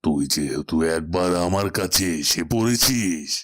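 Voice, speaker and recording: Very deep, commanding tantric mystic. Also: male voice, animated, fairly bright tone, exaggerated voice. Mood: grave, reassuring. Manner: slow, grave, authoritative. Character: deep, commanding male tantric holy man, protective. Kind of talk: Bengali cartoon voice acting. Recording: music behind the voice. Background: background music.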